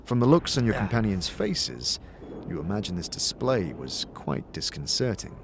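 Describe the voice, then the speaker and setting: A man narrating a dramatic fantasy story in the second person, with a theatrical, expressive delivery. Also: fairly low pitch, normal speed, creaky, noisy background. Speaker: narrator and game master voice. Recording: music behind the voice. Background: music.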